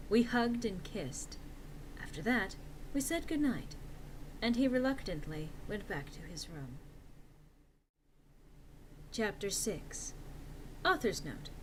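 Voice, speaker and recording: A woman's voice: medium pitch, expressive, slow, pauses often, slight background noise, low-quality recording. A woman reading a story aloud as narrator in a theatrical, mock-serious and emphatic comic style.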